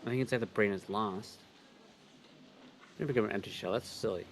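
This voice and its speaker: A man with a fairly low voice reads a visual novel on stream. He talks quickly and adds casual commentary as he goes. There is faint noise behind him. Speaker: co-reader on a game livestream.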